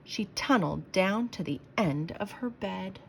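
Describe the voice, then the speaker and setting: A woman doing an expressive read-aloud for children, speaking to them directly like someone from their school. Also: mid-range voice, measured pace, vocal fry, noisy background. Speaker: school staff member reading to pupils.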